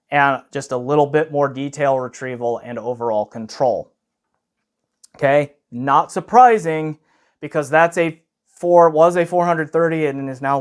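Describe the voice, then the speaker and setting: A man with a voice pitched a little higher than most talks through a casual gear review. He explains as he goes, leaning on certain words for emphasis and often qualifying what he has just said. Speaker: product reviewer.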